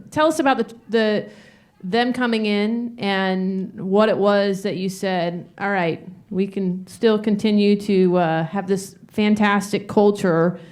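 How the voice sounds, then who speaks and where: Woman, medium pitch, interview moderator, informal live questioning, wordy, self-interrupting phrasing. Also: darkish tone.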